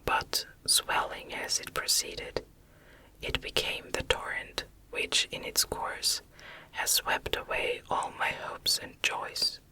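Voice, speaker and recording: A woman reads a classic novel as ASMR in a breathy, unvoiced whisper, with soft, measured storytelling. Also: poor audio. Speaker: ASMR audiobook reader.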